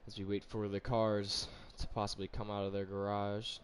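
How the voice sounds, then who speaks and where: A man who is feeling sick, with a raspy voice, commentating a racing league in a hesitant way with fillers. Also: fairly low pitch, monotone, moderate pace, a little hiss or hum. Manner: hesitant, with fillers and self-corrections. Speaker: race commentator.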